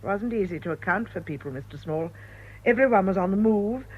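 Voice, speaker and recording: Actress as troubled, proper British wife, lively intonation. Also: female voice, mid-range voice, fairly quick, lightly acted. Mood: grave, reflective. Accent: British English. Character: married former missionary wife, earnest, troubled, proper. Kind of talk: radio drama acting. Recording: dull lo-fi radio recording with noise.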